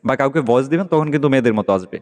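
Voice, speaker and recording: A man's voice: medium pitch, animated, rapid-fire, a little mellow. A man hosting a casual tech tutorial, explaining an app step by step and often checking that listeners follow. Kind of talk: casual screen-recorded app walkthrough. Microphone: phone microphone during screen recording. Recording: long echoing reverb.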